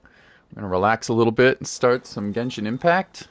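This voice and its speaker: A man's voice: medium pitch, animated, normal speed, no echo. A man casually chatting and commentating on a game livestream in a laid-back, playful, chatty way. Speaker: live game streamer. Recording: music playing behind the voice.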